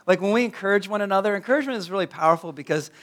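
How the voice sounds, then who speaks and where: A hoarse, raspy-voiced man in his thirties, a pastor praying and then preaching, who often stumbles and repeats words. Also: sits high, rapid-fire, a bit bright. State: hoarse from a cold. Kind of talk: prayer and sermon.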